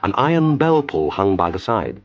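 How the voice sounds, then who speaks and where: Man narrating, very expressive. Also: lowish voice, moderate pace, lo-fi sound. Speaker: storyteller. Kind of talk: scripted children's story narration.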